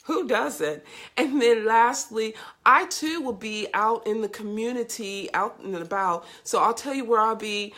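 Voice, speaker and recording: A woman's voice: on the high side, a little slow, sharp tone. A woman hosting a casual live stream, upbeat and effusive, greeting viewers by name, with a congested, scratchy voice from a cold. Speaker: host of a live video show.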